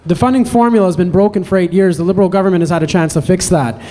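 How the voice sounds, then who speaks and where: A man's voice: high-pitched, rapid-fire, warm tone, long reverb tail. A male political candidate speaking at a public debate, assertive. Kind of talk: debate answer. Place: public meeting hall.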